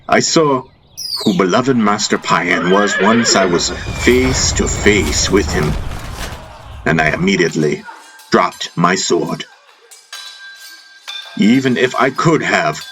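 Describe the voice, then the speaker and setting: Male audiobook narrator, low pitch, unhurried pace, solemn and reverent, dramatic first-person fantasy storytelling. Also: a bit bright. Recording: narration over a music soundtrack. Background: prominent music.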